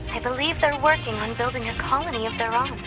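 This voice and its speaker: A woman voicing a gentle, peace-loving machine in a video game, courteous and softly formal with small hesitations. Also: sits high, fast talker, loud music behind the voice, close to an everyday voice. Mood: polite, helpful. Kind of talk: video game cutscene acting.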